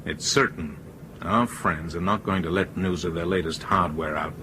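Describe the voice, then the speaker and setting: An actor in a radio drama playing a cool, persuasive ringleader who speaks in an assertive, matter-of-fact way. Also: male voice, deep voice, normal speed, noisy background, close to an everyday voice. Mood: determined. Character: cool, persuasive male ringleader plotting a takeover.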